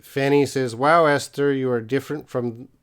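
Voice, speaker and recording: A man's voice: medium pitch, steady tone, normal speed, smooth. Casual man hosting a live English Q&A. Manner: casual, hesitant with many fillers. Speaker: host of English lessons. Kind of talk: live-stream Q&A, reading chat comments.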